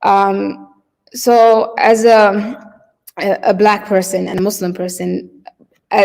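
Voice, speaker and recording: Woman, keynote storyteller; halting, filler-heavy delivery; long reverberant hall sound. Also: medium pitch, darkish tone. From Somalia. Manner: hesitant, many fillers and restarts. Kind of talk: personal storytelling.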